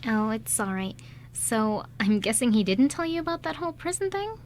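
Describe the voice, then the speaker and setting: Actress doing caring gal pal in radio drama, frank, kinda scolding but kind, bit of noise. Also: female voice, a little high, big pitch swings, normal speed. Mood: concerned, chiding. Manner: chiding but kindly. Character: caring, frank woman friend on the telephone. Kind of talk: amateur radio drama script reading.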